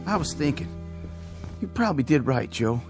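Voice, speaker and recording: An actor playing a desperate Confederate soldier, urging and pushing in an old-time radio drama. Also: male voice, fairly high pitch, big pitch swings, normal speed. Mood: weary. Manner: urgent, pushing. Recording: old radio recording with music.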